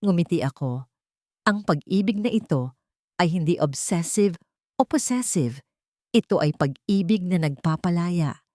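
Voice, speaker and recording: A low-voiced woman with a Filipino accent reads scripted first-person drama narration. The recording is dry and close, with no room sound around her. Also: lively intonation, measured pace, pauses often, a little mellow, dry recording.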